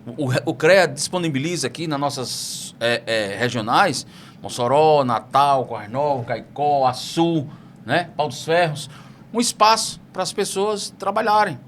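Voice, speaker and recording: Chatty podcast host, full of asides. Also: male voice, a little high, normal speed, a bit bright. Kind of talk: casual podcast chat. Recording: faint background noise.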